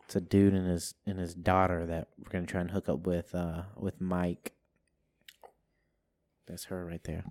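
Low-voiced man rambling on a podcast. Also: level tone, normal speed, pauses often, a little mellow. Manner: rambling, crude, joking, many fillers. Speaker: podcast host. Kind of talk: casual podcast banter. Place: home studio.

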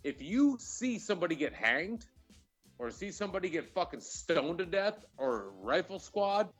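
A man's voice: high voice, big pitch swings, normal speed, faint music behind. A man co-hosting a casual talk show, giving his opinions in a blunt, emphatic way full of swearing. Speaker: podcast co-host. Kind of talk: casual, heated opinion chat.

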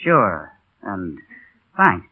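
Husky, curt down-on-his-luck pilot. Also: sits low, huge pitch swings, drawn-out words, full character voice. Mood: sullen, terse. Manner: terse, sullen. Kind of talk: 1940s radio acting. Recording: tinny, band-limited vintage radio broadcast.

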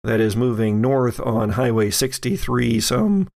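A man acts as game master for a tabletop roleplaying session. His voice has plenty of fry and he speaks slowly. He lays out the story in measured, halting phrases. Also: medium pitch, expressive, a little mellow.

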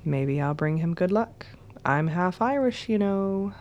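A woman's voice: on the low side, expressive, a little slow, darkish tone, dull audio. A woman playing a small, frank young woman in a radio drama, with a casual, teasing, reassuring manner.

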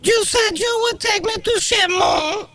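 A man voicing a clingy, demanding Eastern European woman caller in broken English and a high falsetto. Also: unhurried, pushed and bright, dry recording, shouting, heavily acted. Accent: mock Eastern European. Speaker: prank caller bit.

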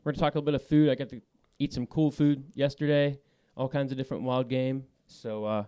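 A laid-back man hosts a podcast around a studio table, his voice smooth and clear. He pads his talk with easy filler words. The room sounds dry and close. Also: mid-range voice, fairly even intonation, fast, dark tone, no echo. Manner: voice that carries. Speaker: podcast host. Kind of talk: casual fly fishing podcast chat.

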